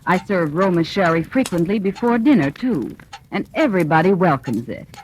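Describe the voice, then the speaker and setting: A woman's voice: fairly low pitch, big pitch swings, normal speed, darkish tone. A woman voicing a gracious, refined testimonial in a 1940s radio advertisement. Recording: noisy old radio broadcast.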